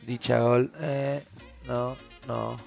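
A young adult man with an Argentine accent and a hoarse voice, commenting casually and slangily as he streams. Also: medium pitch, flat delivery, very slow, music in the background. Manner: slangy, offhand. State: hoarse from shouting. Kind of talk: casual gaming video commentary. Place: small room at home, indoors.

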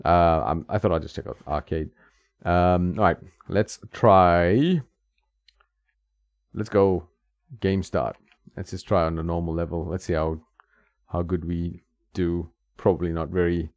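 A man's voice: low voice, steady tone, unhurried, long pauses, dry recording, lo-fi sound. A man streaming retro games, chatting casually and thinking aloud with lots of ums and small laughs. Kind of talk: casual gameplay commentary. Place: home streaming setup. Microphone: desk mic.

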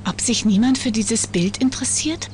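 A woman's voice: on the high side, moderate pace, a little mellow, slight background noise, close to an everyday voice. An actress playing a curious, caring teenage girl detective in a cartoon adventure game, earnest and inquisitive.

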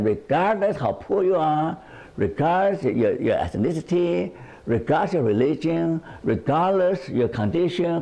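An older man giving an animated lecture to a group in a living room, with a lapel mic. He often repeats words and checks in with "right?". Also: a little high.

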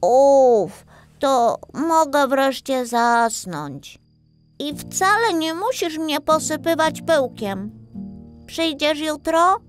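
A woman voicing a gentle, slightly anxious, sleepy little girl in a children's bedtime story, childlike and expressive. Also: high-pitched, drawn-out words, soft background music, voice pushed up, heavily acted. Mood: relieved, sleepy.

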